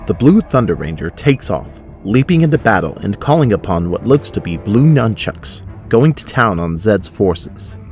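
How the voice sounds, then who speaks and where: Low-voiced male drama narrator. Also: big pitch swings, moderate pace, hardly any pauses, over music. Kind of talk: scripted audio drama narration.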